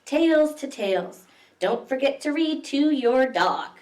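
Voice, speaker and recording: A woman's voice: high-pitched, expressive, unhurried, darkish tone. A woman reading picture books aloud to young children as a library story time host, expressively, with questions to her listeners. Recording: echoey room, distant microphone.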